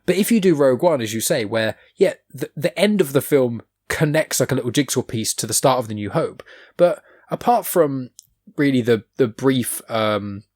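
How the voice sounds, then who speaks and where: A man's voice: mid-range voice, lively intonation, moderate pace, darkish tone. A man hosting a podcast, chatting casually in an opinionated, rambling way and swearing freely. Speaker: podcast host. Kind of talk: casual chat.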